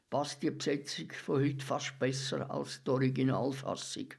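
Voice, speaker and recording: A man's voice: mid-range voice, creaky. A man with a Swiss German accent hosting a radio programme, giving a scripted introduction. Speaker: radio host.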